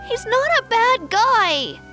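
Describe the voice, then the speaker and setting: A woman voicing an earnest, proud little village boy with a high boyish voice in a video game. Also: very animated, takes it slowly, bright, forceful tone, exaggerated voice. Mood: worried, defiant. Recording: game music behind.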